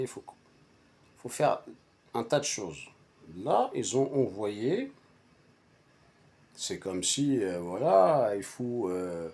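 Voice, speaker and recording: A man whose first language is Arabic, telling a personal story casually in a halting, repetitive and emphatic way. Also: on the low side, a little mellow. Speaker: storyteller recounting a personal anecdote to friends.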